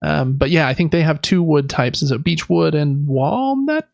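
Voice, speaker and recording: A man's voice: fairly high pitch, lively intonation, moderate pace, creaky, a little mellow, no echo, lo-fi sound. A man chatting casually and enthusiastically as a podcast host. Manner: enthusiastic, informal. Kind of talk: casual board game podcast chat.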